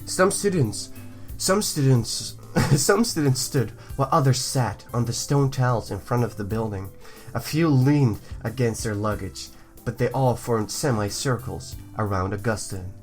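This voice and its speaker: A man's voice: medium pitch, a little slow, soft background music. A man from the United States hosting a gaming video, moving between reading narration aloud and jokey, goofy commentary. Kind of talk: let's play commentary and narration.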